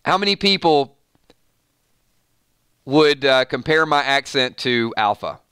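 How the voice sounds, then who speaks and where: A man with a Southern Georgia accent chats loosely to his viewers, rambling with frequent laughs. His voice is bright and ringing, smooth and clean. The sound is dry and close. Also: medium pitch, expressive, slow pace, no room sound. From Georgia, USA. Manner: chatty, rambling, laughs often, reads viewer comments. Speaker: show host. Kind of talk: casual live-stream chat.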